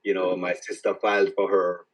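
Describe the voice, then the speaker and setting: A man from Jamaica with a Jamaican accent, an author answering interview questions in a reflective, halting way that repeats words as he starts each thought. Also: deep voice, monotone, recorded from a distance. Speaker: author guest.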